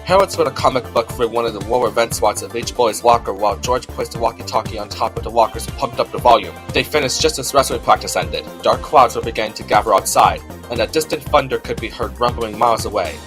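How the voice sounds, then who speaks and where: A man's voice: mid-range voice, fast, hardly any pauses, music in the background. A man giving scripted narration of a storybook as its narrator.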